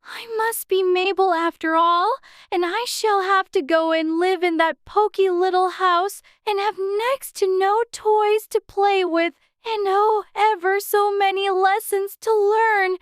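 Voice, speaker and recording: A woman's voice: very high voice, steady tone, a little slow, dry recording, studio quality. A woman voicing an anxious, chatty little girl in a dramatized storybook, fretfully talking to herself in prim childish exclamations. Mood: forlorn, fretful. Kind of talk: dramatized audiobook character acting.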